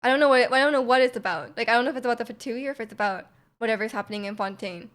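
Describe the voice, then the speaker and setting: A young adult woman live-streaming casual reactions, chatty and halting as she repeats phrases. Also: on the high side, a bit bright.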